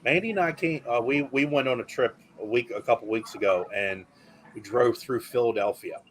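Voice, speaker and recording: Animated chatty male podcast host. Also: mid-range voice, fairly quick, a little hiss or hum, low-quality recording. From rural area. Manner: animated, excitable storyteller. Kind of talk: casual chat.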